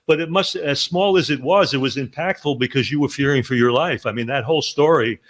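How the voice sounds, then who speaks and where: A male podcast host asks long, earnest questions that keep circling back and restarting. His voice sits at an ordinary middle pitch, and he sounds sincere and intent. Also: a little fast. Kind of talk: interview questions.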